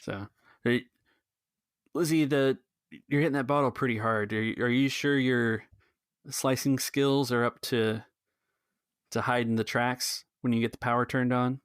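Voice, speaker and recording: A man hosting a tabletop podcast as game master, earnest and sometimes halting as he speaks. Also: mid-range voice, fairly even intonation, clear, resonant tone, no echo, studio quality. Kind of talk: tabletop game roleplay narration.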